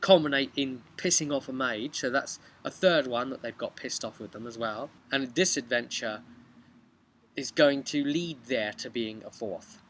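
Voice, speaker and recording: A young man telling a casual, halting recap of a tabletop game to camera as the game master, speaking outdoors. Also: mid-range voice, fairly bright tone, slight background noise, low-quality recording. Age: young adult. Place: outdoors on a garden bench by a brick house.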